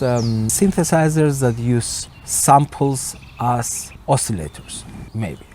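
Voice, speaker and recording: A middle-aged man giving a casual, tongue-in-cheek vlog explanation to camera, speaking informally and deliberately. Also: medium pitch, a little mellow. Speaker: video host and music producer. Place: outdoors, park woodland and street. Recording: outdoor ambient noise.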